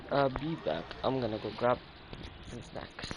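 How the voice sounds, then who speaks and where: A man's voice: medium pitch, moderate pace. Man rambling over gameplay, noisy background. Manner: rambling, self-talking, exclamatory. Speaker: game streamer. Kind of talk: casual live gameplay commentary. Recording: clear background noise.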